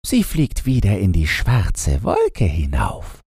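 A man voicing a kindly old grandmother who tells winter tales in a slow, hushed storytelling manner. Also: low voice, wildly swooping pitch, husky, no echo, studio quality, pitched above the performer's own voice, heavily acted. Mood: gentle, mysterious.

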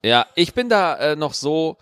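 A man talks on a podcast, joking around with big swings in his pitch. His voice jumps up and down constantly. The sound is dry and close, with no room around it. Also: unhurried, clean tone, dry recording. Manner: animated, jokey, swings pitch for emphasis. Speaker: podcast co-host. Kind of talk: casual chat.

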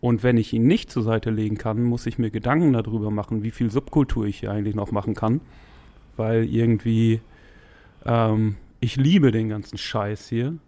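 A man's voice: fairly low pitch, fairly even intonation, moderate pace, mellow. A man in his forties, a podcast guest, talking casually in a loose, slangy, rambling way with laughs.

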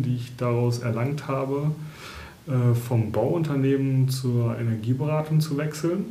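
A man with a mid-range voice explains things calmly as a podcast guest. He pauses now and then with small hesitations. The sound has an echoey, roomy quality. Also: medium pitch. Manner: calm, explanatory, some hesitations. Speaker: interview guest, energy consultant. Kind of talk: podcast interview.

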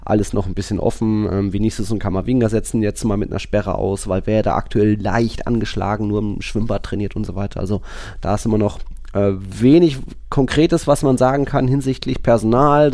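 A man's voice: on the low side, a little fast, mellow, no echo, clean studio sound. A man chatting casually as co-host of a football podcast, in slangy, colloquial language.

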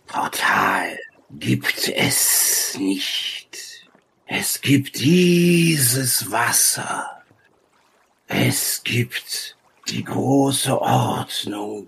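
A man voicing an ancient, arrogant and weary reptilian sage in a tabletop roleplaying game, with a drawn-out, pompous delivery. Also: mid-range voice, creaky, fairly bright tone, slight background noise, full character voice.

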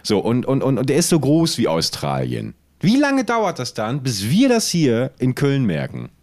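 A man co-hosting a podcast in casual, comedic chat, playfully animated and often stammering and repeating words as he goes. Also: mid-range voice, normal speed. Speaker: podcast co-host.

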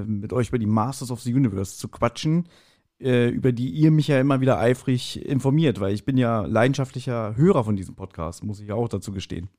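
A man's voice: medium pitch, warm tone. A man appearing as a guest on a podcast, speaking in a friendly, modest way. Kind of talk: casual podcast chat.

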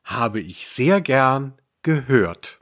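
A man's voice: medium pitch, lively intonation, very slow, a bit bright. A man co-hosting a podcast in casual chat, joking in a dry, ironic way. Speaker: podcast co-host.

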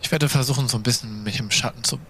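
A man playing a protective, cautious vampire companion in an audio drama, speaking in a tentative, measured way. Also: medium pitch, fairly bright tone, a little hiss or hum, natural voice. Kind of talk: improvised role-play audio drama.

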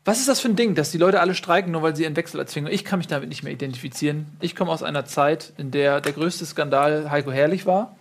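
A man with a fairly high speaking voice hosts a casual football chat. He trades ironic jokes and fills his sentences with frequent hesitations. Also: fast, hardly any pauses. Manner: joking, ironic asides, many fillers. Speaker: football podcast host.

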